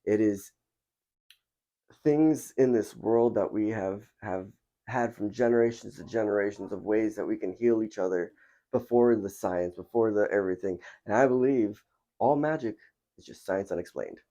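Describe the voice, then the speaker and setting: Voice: medium pitch, normal speed, warm tone. A podcast host chatting casually and enthusiastically, rambling with many fillers, recorded indoors at a spiritual center. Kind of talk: casual interview chat. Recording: dull lo-fi recording.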